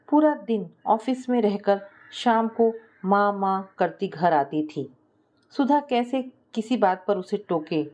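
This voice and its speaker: A woman's voice: mid-range voice, darkish tone. A woman narrating a scripted family story as a storyteller, acting out the dialogue as she reads.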